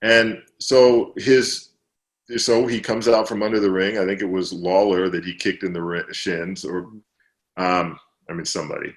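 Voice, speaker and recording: A man being interviewed as an author, explaining at length in relaxed conversation, with fillers and restarts. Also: deep voice, moderate pace.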